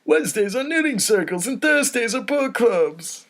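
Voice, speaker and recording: A man's voice: very high-pitched, big pitch swings, moderate pace, fairly bright tone, voice pushed up, full character voice. A man voicing a blustering mafia boss with emphatic, theatrical gangster patter in a comedy script read. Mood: smug, menacing. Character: mafia Don, blustering boss with cotton-stuffed mouth.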